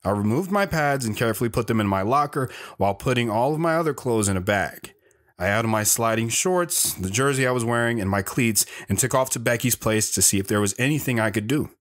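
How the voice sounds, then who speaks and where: A man's voice: medium pitch, expressive, brisk, a bit bright, lightly acted. Man playing an earnest young narrator. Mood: reflective. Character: Travis, earnest awkward teenage boy telling his story. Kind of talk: first-person dramatic narration with acted dialogue.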